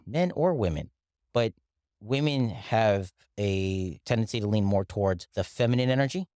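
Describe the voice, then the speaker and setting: A middle-aged man from the Philippines talks as a guest about mindset and wellness. His voice sits low, and he speaks earnestly, slowing down to stress points. The recording is dry and close. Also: a little mellow, no echo. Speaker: interview guest, wellness coach. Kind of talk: reflective interview talk.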